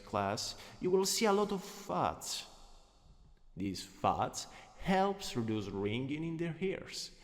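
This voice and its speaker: A man acts out a gently dominant male teacher with an Italian accent in an ASMR roleplay. He speaks slowly and dotingly, with a melodic swing in pitch, coaxing as he instructs. The voice rings in a long hall-like reverb.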